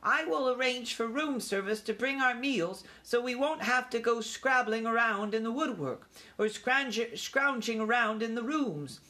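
Man playing a bold, high-voiced boy mouse. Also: measured pace, few pauses, pushed and bright, full character voice. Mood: confident, commanding. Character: bold young boy mouse, eager and grand.

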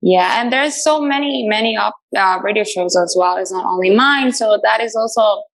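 Woman guest from Latin America, gravelly chatty delivery full of fillers. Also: medium pitch, normal speed. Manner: chatty, many fillers and restarts, laughs often. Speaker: radio show host, guest. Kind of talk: casual interview answers.

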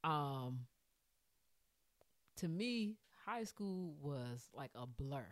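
A woman's voice: low voice, animated, very slow, no room sound. A woman in her forties, a podcast guest, speaking reflectively and earnestly with frequent restarts. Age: 40s. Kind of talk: casual group conversation.

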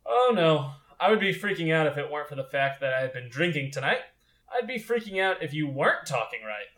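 A man's voice: fairly high pitch, very animated, a little fast, a bit bright. A man playing a bewildered, sarcastic man losing his grip in a comedy script, with an exasperated, dramatic delivery. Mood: wry.

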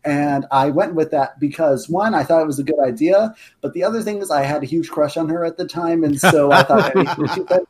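A man in his twenties with a deep bass voice, a podcast guest eagerly telling a story in casual chat, full of uh and um fillers. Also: a little fast, few pauses.

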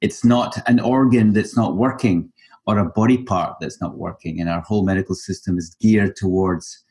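Lower-voiced male podcast host. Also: normal speed, a little mellow. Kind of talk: interview hosting.

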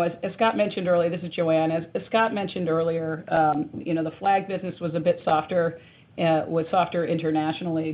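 A woman executive answering analyst questions on an earnings call, measured, with frequent ums and restarts. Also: deep voice, breathy edge. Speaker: company executive. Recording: tinny phone line.

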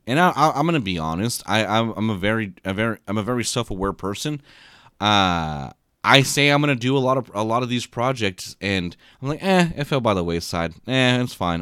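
A man's voice: medium pitch, a bit bright, clean tone, no echo, studio quality. A man co-hosting a podcast in casual chat, sleepy after a short night, rambling with many restarts and repeated words. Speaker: podcast co-host. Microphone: podcast mic.

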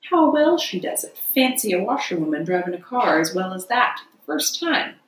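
A woman voicing a kindly, hearty gentleman motorist from a storybook in an emphatic, well-mannered way. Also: medium pitch, big pitch swings, normal speed, roomy sound, slight background noise, lightly acted. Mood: admiring.

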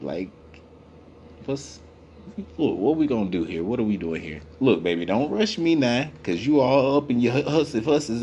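A man with a naturally deep voice delivering a rambling, repetitive, profane comedic rant, full of fillers. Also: mellow, a little hiss or hum.

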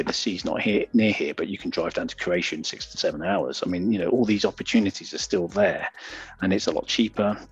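A man's voice: sits low, slightly rough, dry recording. A man with a British accent talking conversationally as a podcast interview guest. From Great Britain. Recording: dull, lo-fi interview audio.

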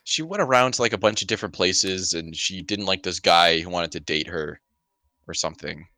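A man's voice: low voice, brisk, bright, forceful tone, dry recording, clean studio sound. A man in a casual podcast chat, giving blunt opinions in informal, slangy speech. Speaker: podcast panelist reviewing a radio drama.

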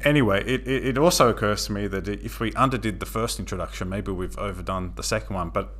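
A man's voice: fairly low pitch, quick pace, fairly bright tone. A man hosting an interview, asking polite, structured questions. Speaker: podcast host. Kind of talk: interview conversation.

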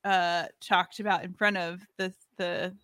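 A woman co-hosting a podcast, chatty and excitable, often tripping over her words. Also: lowish voice, measured pace, no room sound. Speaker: podcast co-host. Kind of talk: casual podcast chat.

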